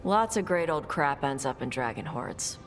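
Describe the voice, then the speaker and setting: A woman voicing a blunt young dragon hunter who talks in short, gruff, dismissive lines in a game cutscene. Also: deep voice, expressive, moderate pace. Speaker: treasure hunter. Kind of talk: video game cutscene dialogue.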